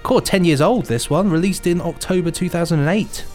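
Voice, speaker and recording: A man's voice: a little high, fairly quick, darkish tone, soft background music. A man co-hosting a podcast in a casual, enthusiastic, jokey chat style. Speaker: podcast co-host.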